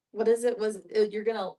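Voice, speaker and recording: A woman's voice: medium pitch, level tone, moderate pace, warm tone. A woman explaining things in a group discussion, conversationally checking for agreement as she goes. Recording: voice picked up from a distance.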